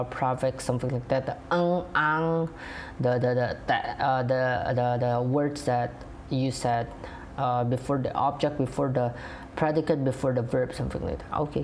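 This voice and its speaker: A man's voice: mid-range voice, darkish tone, slight background noise. A young man with an Indonesian accent, whose first language is Indonesian, explaining in English with many fillers and restarts in a reaction video. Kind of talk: casual explaining to camera.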